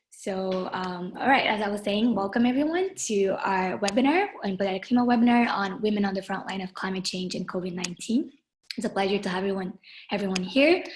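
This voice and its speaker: A woman's voice: medium pitch, a little hiss or hum. Brazilian-born woman hosting a webinar. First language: Portuguese. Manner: friendly, many ums and uhs, slows on key phrases. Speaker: webinar moderator and founder. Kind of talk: online webinar introduction. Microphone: video call.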